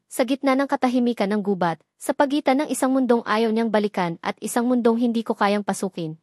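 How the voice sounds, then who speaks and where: A woman's voice: mid-range voice, a little mellow, no echo, clean studio sound. A woman with a Filipino accent reading a scripted, dramatic first-person story as narrator.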